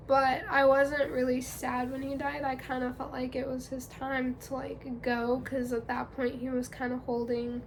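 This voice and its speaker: A woman's voice: high voice, creaky, a little hiss or hum. A young woman vlogging in casual chat, congested from a cold, chatty and rambling with fillers.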